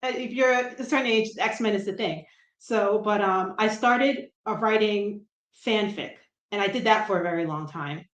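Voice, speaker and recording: A middle-aged woman from New Jersey with a light Brooklyn accent, a comic writer chatting as a guest, self-deprecating and quick to laugh. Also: medium pitch. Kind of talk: casual interview chat. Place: room at home. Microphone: video call. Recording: roomy echo on a video call.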